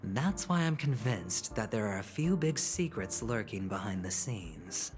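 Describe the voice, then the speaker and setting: A man's voice: fairly low pitch, lively intonation, unhurried. A man voicing a reckless, scheming gambler in a video game, with a playful, calculating manner. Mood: amused, confiding. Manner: playful, calculating, chuckles and sighs often. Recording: background music under dialogue. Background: music.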